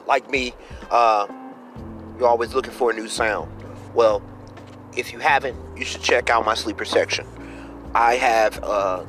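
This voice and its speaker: Low-voiced man, casual podcast host. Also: a bit bright. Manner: informal, slangy, occasional swearing.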